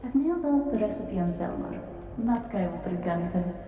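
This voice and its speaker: A woman's voice: medium pitch, expressive, a little fast, over music, lightly acted. A woman voicing an eccentric female potion brewer and magic mentor in an audio drama, teasing, fussy and theatrical. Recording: roomy distant dull-toned sound.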